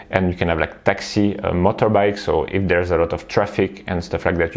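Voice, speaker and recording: Low-voiced man chatting casually. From Belgium. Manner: relaxed, chatty, many fillers and tag questions. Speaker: vlogger and online coach. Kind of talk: casual talk to camera.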